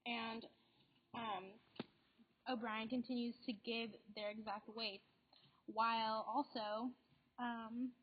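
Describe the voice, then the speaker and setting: A teenage girl, a student guest on a school podcast, answering thoughtfully and hesitantly with many pauses. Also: on the high side. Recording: dull lo-fi recording.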